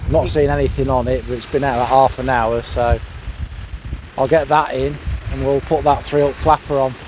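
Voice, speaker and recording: A man's voice: mid-range voice, steady tone, moderate pace, a little mellow. A man, chatting casually as an angler in brief, understated remarks. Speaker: angler presenting a fishing vlog. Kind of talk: casual chat. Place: open beach at night. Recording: outdoor recording with faint wind noise.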